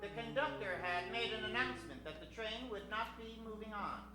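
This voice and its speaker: A woman's voice: a little high, fairly quick. A woman narrator telling an improvised story in old-time radio style. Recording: roomy, faint music behind.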